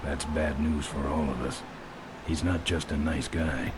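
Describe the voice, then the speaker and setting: A man playing a tough, terse biker gang leader in a video game, gruff and deadpan. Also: unhurried. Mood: firm, unimpressed. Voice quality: very deep, gruff. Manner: laconic, deadpan. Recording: clear noise behind the voice.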